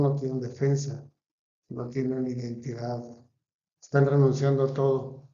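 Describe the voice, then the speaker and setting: A man's voice: medium pitch, warm tone, reverberant, highs cut off. A man with a Mexican accent preaching a sermon in an earnest cadence, stacking phrases one after another. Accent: Mexican Spanish. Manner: earnest, repetitive listing cadence.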